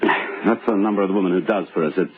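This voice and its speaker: Actor as halting, low-voiced scheming husband, old radio. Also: male voice, soft background music, natural voice. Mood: feigned illness. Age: middle-aged. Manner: halting, stammering. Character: scheming husband of nearly forty, feigning innocent worry. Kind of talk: old-time radio drama acting. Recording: lo-fi old radio recording, background noise.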